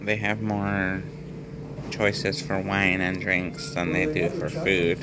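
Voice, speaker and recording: A man's voice: low voice, completely flat delivery, takes it slowly, loud music behind the voice. A young man casually reviewing a restaurant meal, talking plainly and hesitantly with many fillers. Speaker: food vlogger. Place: restaurant, then parked car.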